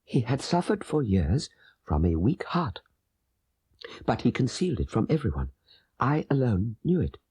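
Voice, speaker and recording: Man acting a breathy, dying old father. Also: mid-range voice, warm tone, no room sound, heavily acted. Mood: grave confession. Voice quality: breathy, fading into whispers. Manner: halting, laboured deathbed speech. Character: dying old father, guilt-ridden, greedy, confessing. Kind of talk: dramatic audiobook character voice.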